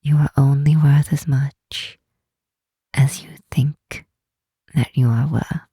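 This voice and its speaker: Woman playing protective Demon Queen, ASMR roleplay, very breathy half-whisper, slow unhurried delivery, soothing, gently commanding. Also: extremely low pitch, fairly even intonation, no room sound, studio quality, heavily acted. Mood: reassuring, firm. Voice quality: soft, breathy, often whispering. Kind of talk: ASMR roleplay, intimate comforting monologue.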